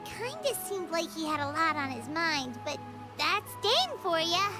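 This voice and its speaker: A tiny game fairy with an extremely high, squeaky child's voice. She chatters expressively, pitch swinging widely, with loud music playing behind her. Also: unhurried, heavily acted. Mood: chatty, thoughtful. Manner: speaks of self in third person, expressive. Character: small childlike floating fairy companion, bubbly and chatty. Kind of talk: video game character acting.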